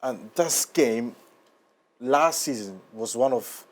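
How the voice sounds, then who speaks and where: A man's voice: mid-range voice, animated, slow pace. A man, interviewing guests as host of a sports talk show.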